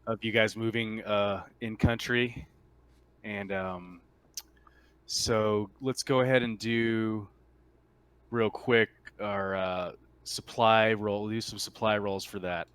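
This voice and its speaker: Male game master, lowish voice, close dry sound, casual narration. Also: fairly even intonation, slow, fairly bright tone, clean tone, no echo.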